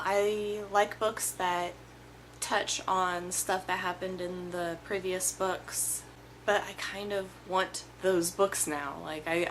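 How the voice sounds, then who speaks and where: Hoarse young woman chatting about books. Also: lowish voice, a bit bright, a little hiss or hum, poor audio. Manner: chatty, rambling, with self-corrections. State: hoarse from illness. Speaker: book vlogger. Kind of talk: casual book review monologue. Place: small indoor room in front of bookshelves.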